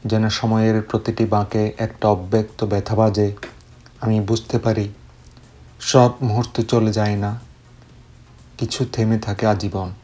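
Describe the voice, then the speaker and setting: A man recites poetry as spoken word in a measured, solemn and wistful way. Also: on the low side, level tone, warm tone. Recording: music bed under the voice. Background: background music.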